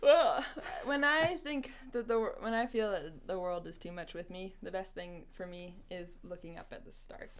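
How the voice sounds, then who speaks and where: A woman's voice: fairly low pitch, moderate pace, hardly any pauses. A woman in a casual chat, an agreeable listener giving brief murmured replies.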